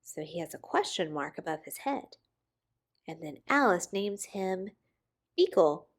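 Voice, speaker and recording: A woman reading a children's picture book aloud expressively, with dramatic pauses and emphasis on key words. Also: on the low side, sing-song. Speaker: storybook reader.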